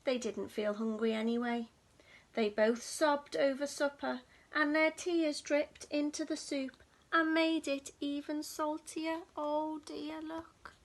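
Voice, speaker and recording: A woman reading a picture book aloud to young children in an expressive, dramatic storytelling manner. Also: sits high, takes it slowly. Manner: dramatic, with hushed whispers and gasps.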